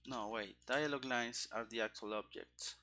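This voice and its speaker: Male, thirties, casual coding streamer thinking aloud. Bright, crackly voice with plenty of fry, halting delivery. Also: mid-range voice. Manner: halting, musing to himself. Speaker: streamer, game developer. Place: indoor room at a computer. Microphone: headset. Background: keyboard typing and clicking.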